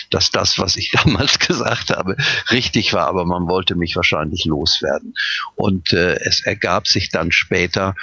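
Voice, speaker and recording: A man's voice: deep voice, pushed and bright, husky. An older man from Westphalia tells his own life story, hesitating often with fillers and restarts. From Westphalia, Germany. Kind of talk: personal recollection, unscripted. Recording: slightly dull lo-fi recording.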